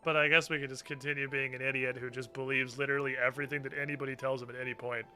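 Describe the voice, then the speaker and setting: A man's voice: medium pitch, level tone, very fast, bright, forceful tone. A man streaming a video game with casual, sarcastic, sweary banter. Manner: sarcastic, profane. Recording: game music under the voice.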